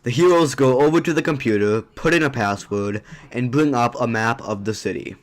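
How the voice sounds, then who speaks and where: A man with a medium-pitched voice serves as narrator. He reads scripted audio drama action and scene descriptions. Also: normal speed.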